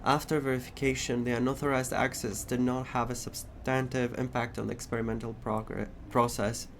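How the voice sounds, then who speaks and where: A man's voice: mid-range voice, vocal fry, slight background noise. A man doing playthrough commentary as a game streamer, reacting and reading along. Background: game music.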